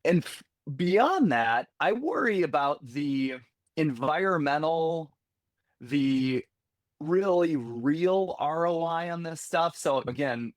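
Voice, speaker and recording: A man's voice: fairly high pitch, lively intonation, takes it slowly, no echo, studio quality. A man guest on a podcast, talking candidly and conversationally, often restarting phrases as he thinks aloud. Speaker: healthcare security executive, podcast guest. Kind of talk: conversational interview.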